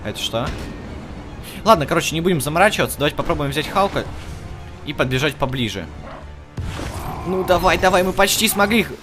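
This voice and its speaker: Casual male gamer over clear music. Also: a little high, expressive, fairly quick, pauses often, bright, forceful tone. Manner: thinks aloud, talks to the game characters. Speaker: gaming video host. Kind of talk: casual let's play commentary. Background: music behind the voice.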